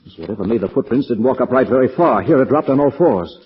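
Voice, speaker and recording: An actor playing a composed, urbane, analytical gentleman sleuth in a 1940s-style radio mystery. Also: medium pitch, animated, fast, breathy edge. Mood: intent. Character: calm, inquisitive gentleman sleuth investigating eerie mystery. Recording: dull old radio recording.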